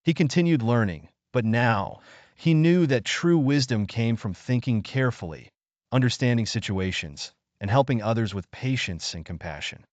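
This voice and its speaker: A man narrating a scripted bedtime story for children in a measured, expressive manner. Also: medium pitch, no echo, muffled recording.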